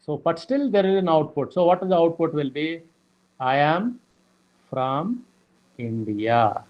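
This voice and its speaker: A man teaching programming, explaining slowly with many fillers and repeated "okay". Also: a little high, lively intonation, highs cut off. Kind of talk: lecture explaining code.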